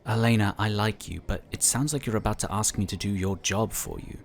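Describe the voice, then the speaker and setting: A man's voice: lowish voice, brisk, vocal fry, slight background noise. A man playing an affable, talkative and polite psionic inquisitor in a sci-fi audio drama. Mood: candid, explanatory. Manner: talkative, easygoing, explanatory.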